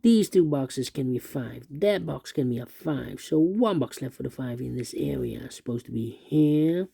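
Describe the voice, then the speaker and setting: A man with a very muted, dark voice that creaks at the edges. He talks through a puzzle step by step, methodically, in repeating sing-song phrases. The sound is dry and close. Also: mid-range voice, animated, a little slow, no room sound. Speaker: puzzle instructor. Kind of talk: unscripted step-by-step sudoku tutorial, thinking aloud.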